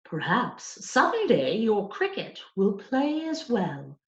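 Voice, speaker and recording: A courtly older gentleman who teaches music, as a woman reader plays him, speaks in grand, emphatic phrases. His delivery swings widely and takes its time, full of rapture, like a figure in a children's storybook. The sound is roomy and a little dull. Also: mid-range voice, wildly swooping pitch, slow pace, voice pushed up, heavily acted. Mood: delighted, admiring. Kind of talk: children's storybook character reading.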